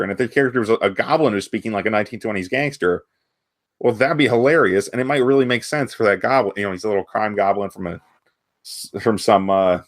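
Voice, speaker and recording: Regular guy voice, medium pitch, casual podcast chat, rambles and interrupts himself. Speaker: podcast host. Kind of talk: casual chat about gaming.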